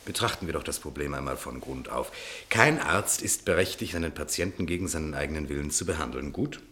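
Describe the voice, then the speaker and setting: A man's voice: a bass voice, fairly quick, sharp tone, a little hiss or hum. A man playing an earnest, insistent defence lawyer in a radio play, speaking formally and arguing articulately. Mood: insistent, reasoning. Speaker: actor in a radio drama. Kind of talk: German radio play dialogue.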